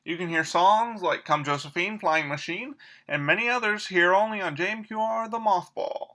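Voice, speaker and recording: Man doing a bright, high-pitched 1940s radio host. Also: moderate pace. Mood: peppy, showy. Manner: folksy, showy announcer patter. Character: peppy 1940s radio variety show host.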